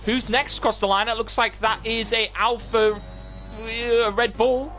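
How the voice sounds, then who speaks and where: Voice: medium pitch, steady tone, unhurried, a bit bright, slight background noise. A commentator giving live play-by-play of an online motor race on a stream. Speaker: esports racing commentator.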